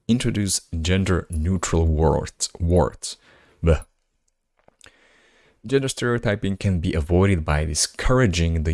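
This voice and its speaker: A man's voice: deep voice, a little mellow, no echo, studio quality. A man whose first language is Slovak, hosting a solo podcast where he reads articles aloud and adds casual, musing asides. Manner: casual, musing asides between read passages. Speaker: podcast host. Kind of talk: reading articles aloud with commentary.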